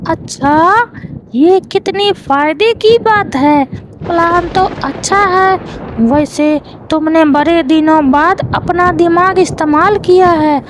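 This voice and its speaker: Cartoon crow wife: extremely high, slow, sarcastic nag with a greedy streak. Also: female voice, noisy background. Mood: greedy approval. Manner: sarcastic, nagging. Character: sharp-tongued, grumbling, greedy female crow wife.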